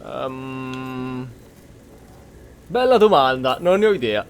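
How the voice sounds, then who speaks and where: A man's voice: mid-range voice, animated, slow, smooth. A man with an Italian accent giving casual gameplay commentary, blunt, grumbling and prone to swearing. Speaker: gameplay host.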